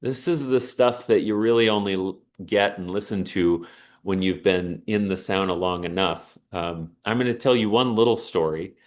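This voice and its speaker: A man's voice: low voice, normal speed. Earnest man giving an inspirational talk. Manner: earnest, emphatic, storytelling. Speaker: speaker on sauna and resilience.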